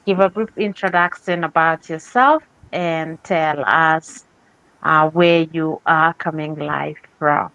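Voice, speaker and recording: Slow, smooth-voiced livestream host woman. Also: sits low, a bit bright, dry recording, highs cut off. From Papua New Guinea. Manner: gracious, welcoming. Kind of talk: livestream introductions.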